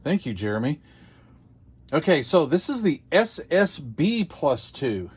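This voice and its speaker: A man's voice: on the high side, very animated, a little slow, slightly rough. An older American man chatting casually as he hosts a hobby unboxing video, rambling along in a folksy, self-deprecating way.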